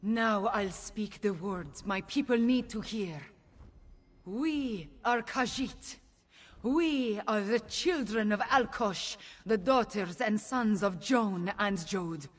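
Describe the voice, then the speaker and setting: A proud young queen of the cat-folk, speaks in slow, regal declarations with a slightly husky edge. The fantasy game voice rings with long reverb as she rallies her people. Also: medium pitch. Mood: defiant, rallying. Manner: solemn, deliberate, regal declarations. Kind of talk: fantasy video game acting.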